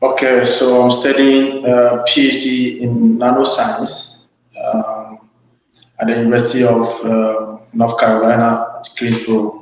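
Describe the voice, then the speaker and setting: A young man with a Ghanaian accent speaking as an interview guest, halting and often repeating words. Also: mid-range voice, completely flat delivery. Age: young adult. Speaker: webinar guest, PhD student. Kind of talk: informal interview, introducing himself. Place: study room, online call. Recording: echoey room, muffled online call audio.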